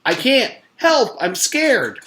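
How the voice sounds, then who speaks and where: Man as storybook schoolboy, high-pitched, swooping, earnest, pleading, overexcited. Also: huge pitch swings, takes it slowly, background noise, heavily acted. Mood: panicked. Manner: begging, pleading.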